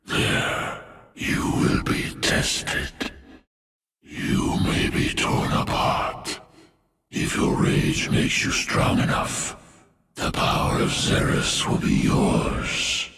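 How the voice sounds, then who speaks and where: An actor playing a colossal ancient alien beast in a video game, solemn and ponderous, with a guttural, gravelly growl. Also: male voice, very slow, heavy background noise. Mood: ominous. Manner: ponderous, portentous pronouncements. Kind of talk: video game cinematic acting. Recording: loud game music behind.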